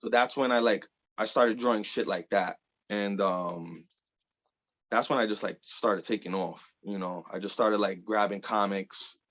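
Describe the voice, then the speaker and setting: A man's voice: lowish voice, a little slow, fairly bright tone, dull audio. A man in his thirties from the Bronx, chatting loosely as an interview guest, full of fillers and casual swearing. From the Bronx, New York. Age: 30s. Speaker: guest artist.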